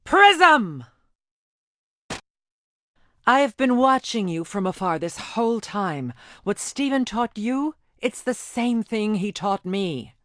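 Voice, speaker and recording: A woman's voice: mid-range voice, sing-song, takes it slowly, long pauses, fairly bright tone, no room sound. A woman playing a proud, competitive female gem warrior in a cartoon game, with a haughty, theatrical manner. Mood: earnest, pleading. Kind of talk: animated game voice acting.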